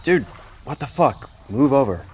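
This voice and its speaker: A man's voice: mid-range voice, very animated, a little slow, a little mellow. A man with an American accent playing a brash, foul-mouthed, hot-headed teenage boy in an audio drama. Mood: hostile. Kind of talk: naturalistic audio drama dialogue. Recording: noisy outdoor ambience behind.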